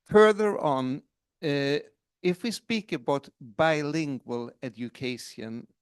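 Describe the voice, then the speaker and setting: A man on a conference panel explains things in a slow, measured way. His sound is dry and close, with little room around it. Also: on the high side, smooth, dry recording. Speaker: panelist commenting.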